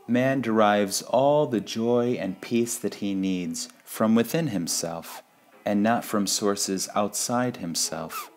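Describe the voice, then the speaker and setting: A man reading spiritual sayings in a measured, deliberate devotional style, pausing between phrases. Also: lowish voice. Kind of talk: slow devotional quote reading.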